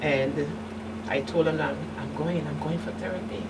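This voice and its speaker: Deep female voice, middle-aged, candid, halting then emphatic, recorded at a distance with clear background hum. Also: fairly even intonation. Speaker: guest, survivor and advocate. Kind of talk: personal testimony in a talk show interview. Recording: distant mic, noisy room hum.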